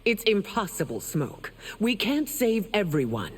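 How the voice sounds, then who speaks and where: A woman playing a regal, stern empress in a video game, speaking formally and with measured command. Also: mid-range voice, sing-song, husky. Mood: calm, regal. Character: regal, stern warrior empress, compassionate but wrathful. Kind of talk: dramatic video game acting. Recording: long reverb, faint background noise.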